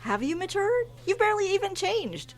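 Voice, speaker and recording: An actress playing a frustrated, disapproving wife of thirty in a horror audio drama. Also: female voice, very high-pitched, very animated, normal speed. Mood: resentful. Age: 30s. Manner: reproachful, emotional. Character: frustrated thirty-year-old wife, disapproving, easily upset friend.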